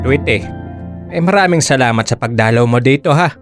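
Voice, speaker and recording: A man's voice: mid-range voice, a little fast, music in the background, lightly acted. An actor playing an apologetic, mild-mannered older Filipino father in a radio drama, hesitant and pleading. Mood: grateful, sheepish.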